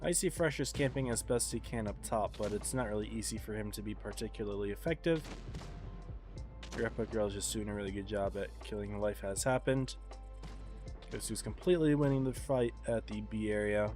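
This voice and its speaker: A man casting an esports match on a live stream, congested and tired, calling the play in a relaxed, subdued way. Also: lowish voice, fairly quick, over music. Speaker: esports caster.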